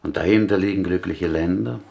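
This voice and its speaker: A man acting a pious, gentle, wryly plaintive small-town prisoner from Galicia in a German radio play, speaking in deliberate, melodic, inverted sentences. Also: sits low, steady tone, gravelly. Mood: wistful, wry. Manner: deliberate, melodic phrasing with inverted word order.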